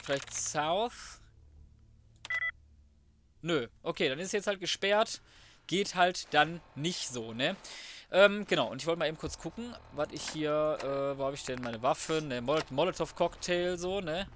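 A man's voice: a little high, expressive, measured pace, slight background noise. A young adult man giving casual, slangy let's play commentary, often muttering to himself as he plays. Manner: colloquial, slangy muttering to himself while playing. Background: loud game music, typing and gunfire.

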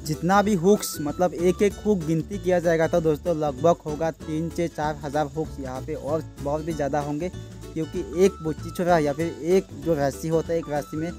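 Higher-voiced young man with a northeast Indian accent. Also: steady tone, fairly quick, warm tone, faint music behind. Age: young adult. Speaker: village fishing vlogger. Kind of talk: casual vlog commentary to viewers. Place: outdoors on a small wooden boat on a river. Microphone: phone at arm's length.

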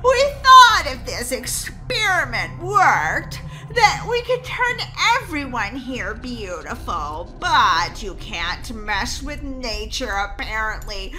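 A woman voicing an elderly male mad scientist in a children's game story, smug, sinister, slow and condescending. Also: high-pitched, pushed and bright, exaggerated voice, rough recording. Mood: ominous. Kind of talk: children's Roblox roleplay story. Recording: background music under the voice.

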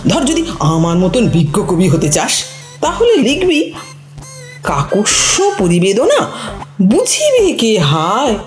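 A woman's voice: on the high side, reverberant, full character voice. A woman acting a sly, chatty friend in a comic audio play, with a teasing, recitation-like delivery. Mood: playful scheming. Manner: animated, teasing, breaks into mock recitation. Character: sly, chatty woman coaching her friend to fake being a poet.

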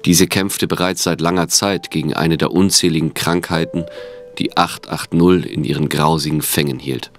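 A man narrates an audio drama in a very deep voice. He reads scripted story passages, with quiet music playing underneath. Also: steady tone, normal speed.

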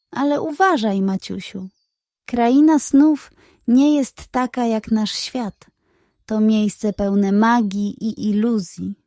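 A woman voicing a wise old female owl in a children's storybook, grave and caring, with a solemn, measured delivery. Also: on the high side, mellow, no room sound, studio quality.

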